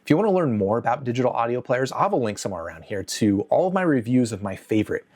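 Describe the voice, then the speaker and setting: Male review host, mid-pitched, casual and conversational, opinionated with a light joking touch. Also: sing-song, racing, darkish tone. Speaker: host of an audio gear review channel. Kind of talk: casual scripted video review to camera.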